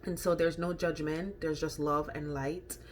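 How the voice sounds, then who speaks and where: A woman with a Jamaican accent talks casually and rather low, rambling along with frequent ums and self-corrections. She speaks to camera like a friendly food vlogger. A faint noise sits under her voice. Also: steady tone, unhurried.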